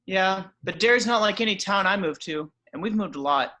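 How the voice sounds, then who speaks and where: A man's voice: high voice, animated, brisk, sharp tone. A man reading as a shy, bookish teenage boy, hesitant and earnest in a table read.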